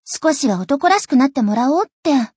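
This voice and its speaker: High-pitched, bossy anime big sister. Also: female voice, animated, fairly quick, darkish tone, no room sound, clean studio sound. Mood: smug, exasperated. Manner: self-assured, scolding yet doting. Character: bossy, teasing teenage big sister, swim club captain. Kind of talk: anime game voice acting, character monologue.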